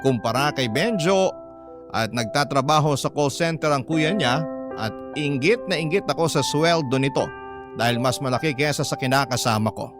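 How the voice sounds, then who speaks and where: A man's voice: medium pitch, normal speed, natural voice. A man narrating a first-person confession in a radio drama as a discontented live-in partner, with a Filipino accent. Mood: confiding. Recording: music bed behind voice.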